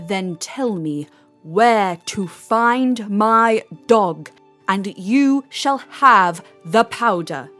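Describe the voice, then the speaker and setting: A woman playing a distraught, mysterious male wizard in a storybook audio drama, with theatrical, drawn-out delivery. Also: mid-range voice, animated, fairly bright tone, soft background music. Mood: sly, ominous.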